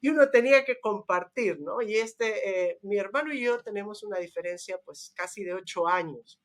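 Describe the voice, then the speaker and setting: A middle-aged woman in casual, nostalgic conversation as a podcast co-host, animated as she tells stories. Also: medium pitch, moderate pace. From Chile. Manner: animated storytelling, laughs often.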